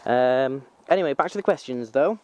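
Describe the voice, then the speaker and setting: A man's voice: mid-range voice, lively intonation, normal speed, a little mellow, no room sound, lo-fi sound. Rambling young man with a Manchester accent. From North Wales. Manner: rambling, self-correcting, informal. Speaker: vlogger. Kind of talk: casual vlog commentary. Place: outdoors.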